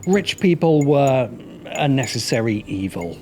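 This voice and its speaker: A man's voice: mid-range voice, big pitch swings, measured pace, a little mellow, faint music behind. A man narrating a scripted video essay as its host, with dry, sardonic asides.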